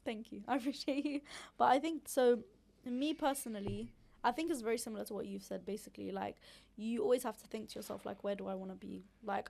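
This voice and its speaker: A woman's voice: mid-range voice, creaky, a little mellow. A woman co-hosting a podcast, chatting in a laid-back, reflective way. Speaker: podcast co-host. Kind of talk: casual chat.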